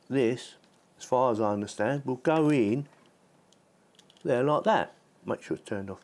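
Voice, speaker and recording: A man's voice: medium pitch, a little mellow. A man giving a casual, chatty hands-on product demonstration, informal and given to repeating himself. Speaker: product reviewer.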